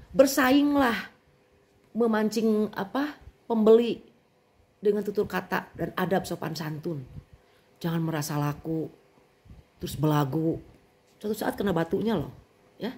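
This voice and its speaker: A middle-aged woman with a Sundanese accent, venting in an indignant, animated monologue as a vlogger. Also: medium pitch.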